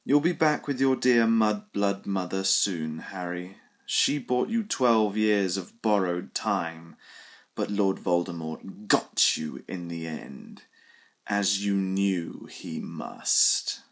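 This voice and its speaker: A man voicing a cold, gloating young dark wizard. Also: deep voice, voice pushed down. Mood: gloating taunt. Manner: soft, gloating, drawn-out taunts. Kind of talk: audiobook character voice.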